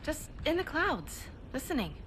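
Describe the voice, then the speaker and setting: An actress playing a defiant, restless and idealistic young superheroine in a video game cutscene. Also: female voice, sits high, very animated, a little slow, very noisy. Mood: casual.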